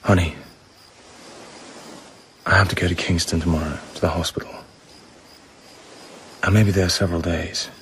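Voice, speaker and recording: Low-voiced, terse English secret agent. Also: male voice, fairly even intonation, moderate pace, long pauses, a bit bright, a little hiss or hum. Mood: tender. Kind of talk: radio drama acting.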